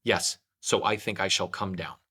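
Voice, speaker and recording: A man's voice: deep voice, fairly even intonation, normal speed, bright, forceful tone, breathy edge, exaggerated voice. A man voicing an earnest, solemn, slightly foolish toy bear in a children's storybook. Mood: decisive. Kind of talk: children's storybook read-aloud.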